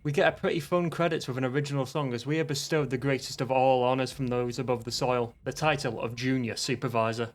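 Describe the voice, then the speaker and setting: Male essayist, Northern English accent, mid-pitched, dry-humoured scripted narration with casual asides. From Northern England. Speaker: video essayist reviewing a game.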